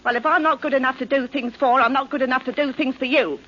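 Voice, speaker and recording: An actress playing a domineering, sarcastic working-class wife, scornful and coarse, in 1940s radio drama style. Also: female voice, high-pitched, steady tone, quick pace. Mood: angry, scornful. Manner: scornful, sharp-tongued, coarse. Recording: dull, muffled 1940s radio recording.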